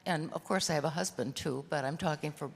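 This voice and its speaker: A woman in the audience sharing a personal comment in a halting, conversational way. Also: low-pitched, creaky, background noise. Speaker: audience member in conversation with the speaker. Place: large hall, public event. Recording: echoing hall, distant sound.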